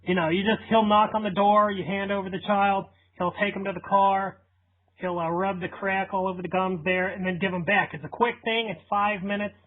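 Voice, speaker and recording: A man playing a casual, shameless parent on a deadpan prank phone call, hesitant and rambling as he persuades. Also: high-pitched, a little fast, close to an everyday voice. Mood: persuasive, casual. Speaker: prank caller. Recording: thin phone-line radio-like sound.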